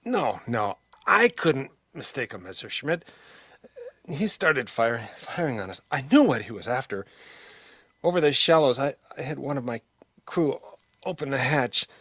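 Slow, halting wounded pilot. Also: male voice, medium pitch, sing-song, a bit bright, no room sound, full character voice. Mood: weak, straining. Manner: halting, stammering, gasping. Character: gravely wounded male pilot, anguished and weak. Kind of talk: old-time radio drama acting.

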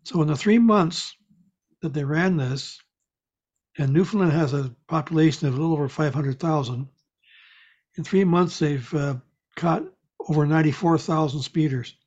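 Higher-voiced man, hesitant, rambling. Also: normal speed, darkish tone. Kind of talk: casual chat. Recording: dull, lo-fi audio.

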